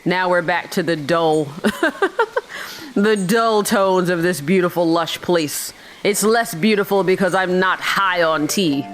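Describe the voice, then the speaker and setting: A woman's voice: on the low side, expressive, slow, poor audio. A woman hosting a gaming video with casual, chatty commentary and joking, sarcastic asides. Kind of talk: casual let's-play commentary. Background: game music faintly behind the voice.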